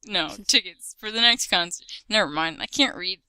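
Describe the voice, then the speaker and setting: A young woman co-reading a silly story, tossing in slow, laughing quips. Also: medium pitch, sharp tone, clean tone, no echo. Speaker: co-reader. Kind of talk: casual joking chat.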